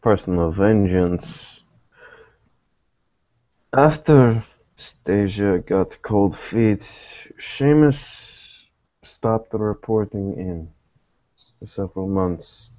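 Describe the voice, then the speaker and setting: A man acts out a guarded investigator from a secretive order in a tabletop game. His voice is low and dark, with slow, formal, carefully weighed phrasing. The recording is somewhat dull and lo-fi. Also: expressive. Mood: grave, deliberate. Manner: measured, formal, deliberate phrasing. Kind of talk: in-character tabletop roleplaying.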